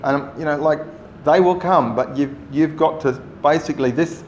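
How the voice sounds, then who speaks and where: A man's voice: fairly high pitch, moderate pace. Man leading a workshop, echoey room. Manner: relaxed, rambling instructions with self-corrections. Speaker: workshop trainer. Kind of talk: addressing a training group. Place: meeting room. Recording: echoey room, faint noise.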